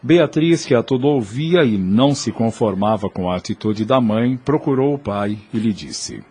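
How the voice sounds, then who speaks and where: A man with a Brazilian accent narrating a scripted audio drama in a husky voice. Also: mid-range voice, expressive, moderate pace, darkish tone.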